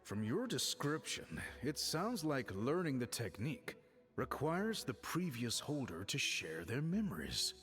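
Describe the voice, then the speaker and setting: Dignified game chief, formal, deliberate, expressive pitch swings, mid-range, music behind. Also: male voice. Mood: solemn.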